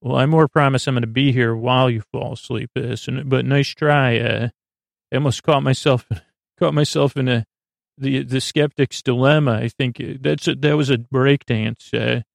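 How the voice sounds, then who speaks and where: A man's gravelly, creaky voice, recorded close and dry. He hosts a bedtime podcast in a meandering monologue meant to send listeners to sleep, stammering and wandering off on tangents. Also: mid-range voice, steady tone, normal speed, no room sound. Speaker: podcast host.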